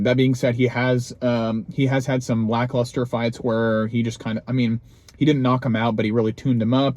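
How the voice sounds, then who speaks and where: Young male vlog host, low and mellow, loose rambling chat recorded outdoors. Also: dry recording. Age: young adult. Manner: rambling, many ums and restarts. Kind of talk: casual chat. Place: outdoors in snowy cold.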